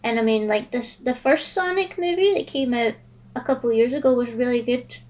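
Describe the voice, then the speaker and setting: A woman's voice: fairly high pitch, normal speed, a little mellow. A woman co-hosting a casual podcast, speaking loosely with many "like"s and giving blunt opinions. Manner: fills her talk with "like", blunt opinions. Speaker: podcast co-host.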